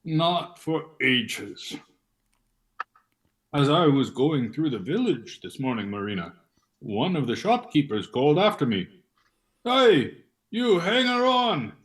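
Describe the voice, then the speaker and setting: A man playing a meek, wistful hanger-on in an amateur play reading, in a plaintive, deliberate manner. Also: on the high side, big pitch swings. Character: meek, wistful male hanger-on in rural Russia.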